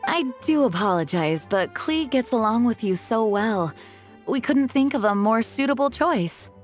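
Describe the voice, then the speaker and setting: A woman's voice: a little high, animated, unhurried, soft background music. Composed, formal young female knight. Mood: apologetic. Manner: polite, formal. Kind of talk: anime-style game voice acting.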